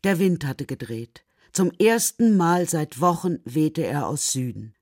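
Low-pitched woman narrating a story. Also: expressive, measured pace, a little mellow, no echo, clean studio sound. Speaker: narrator of a children's radio play. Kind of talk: scripted literary narration.

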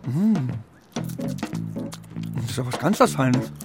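A man's voice: mid-range voice, lively intonation, slow pace, loud music behind the voice. A man playing a cocky, boastful street pigeon in a children's radio play, swaggering and talking in clipped colloquial bursts. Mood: boastful, gruff. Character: cocky scrappy male street pigeon, boastful and gruff-hearted.

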